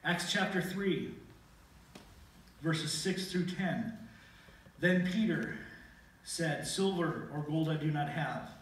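A man's voice: a little high, slow pace, pauses often, slight background noise. A middle-aged man preaching a sermon and reading scripture aloud with earnest emphasis in a church sanctuary. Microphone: pulpit mic, filmed from a far camera. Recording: very echoey hall from far off.

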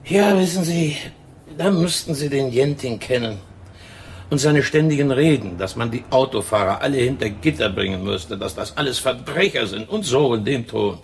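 A man playing a chatty, gossipy tobacco shopkeeper in a German radio crime drama, talking in an emphatic, drawn-out way. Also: mid-range voice, animated, creaky, slight background noise. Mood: emphatic, indignant. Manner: talkative, emphatic, drawn-out storytelling. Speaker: tobacco shop owner in a radio play.

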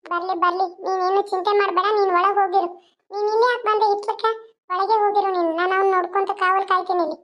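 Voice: very high-pitched, level tone, fast talker, a bit bright. A performer voicing a brave, protective young village man who reassures and defies. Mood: defiant. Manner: reassuring, defiant. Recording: dull lo-fi sound.

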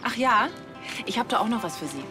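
Actress as brisk, fast-talking policewoman. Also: on the high side, animated, close to an everyday voice. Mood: curt, defiant. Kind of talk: television crime comedy acting. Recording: loud music behind the voice.

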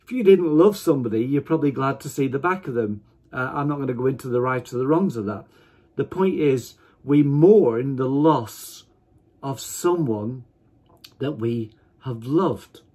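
A man in his fifties giving an informal sermon to camera, speaking emphatically in deliberate, broken phrases. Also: mid-range voice, expressive, a little mellow, breathy edge. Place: small attic room at home, indoors.

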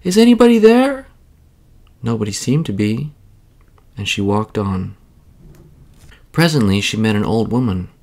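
A man narrating a children's bedtime story in a measured, expressive read-aloud style. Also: lowish voice, gravelly.

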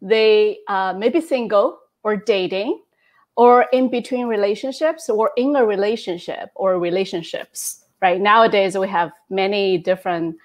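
A woman in her thirties with a Chinese accent, speaking English as a second language. She talks at a measured pace, gently and with light laughter, through wired earbuds on a video call. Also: medium pitch. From China. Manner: warm, measured, frequent soft laughs. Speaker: guest, intimacy coach. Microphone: wired earbud headset on a video call.

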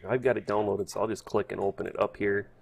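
A man's voice: fairly low pitch, fairly even intonation, quick pace, gravelly, husky. A man hosting a casual hobby tutorial, thinking aloud in a hesitant, folksy way. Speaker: host, hobbyist instructor. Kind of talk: casual spoken tutorial over screen.

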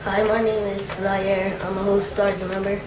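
Slow, halting young speaker. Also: female voice, medium pitch, little pitch movement. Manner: halting, searching for words. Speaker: youth program member. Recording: echoey room, distant mic, background noise.

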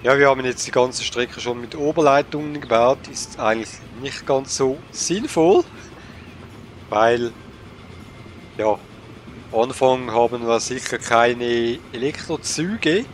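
Slow-talking man with a cold. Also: medium pitch, lively intonation, a little hiss or hum. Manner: slow, hesitant, thinking aloud with fillers. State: has a cold. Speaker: let's play gamer. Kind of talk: casual gameplay commentary.

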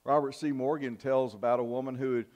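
An older man preaching a sermon in a church, in a measured, earnest style with emphatic pauses. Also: mid-range voice, fairly even intonation, a little mellow, dull audio. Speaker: pastor. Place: church sanctuary, at the pulpit. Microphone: fixed camera from the back of the church.

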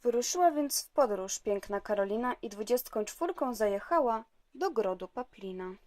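A woman's voice: a little high, animated, moderate pace, darkish tone. A woman presenting a handmade album. She reads its rhymed fairy tale aloud in a storybook voice, then slips into plain, chatty remarks. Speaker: craft maker presenting her handmade album.

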